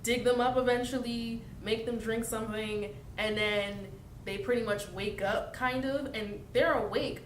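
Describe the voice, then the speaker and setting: A young woman explains things in a casual, storytelling way, with fillers along the way. She is recorded from a distance in an echoey room. A faint rumble sits underneath. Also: fairly even intonation, a little slow. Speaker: interviewee. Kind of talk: casual interview answers.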